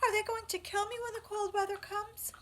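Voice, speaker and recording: A woman voicing a frightened young male pig, wailing and pleading in a high, shrill storybook voice. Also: sing-song, unhurried, sharp tone, slight background noise, exaggerated voice. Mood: terrified.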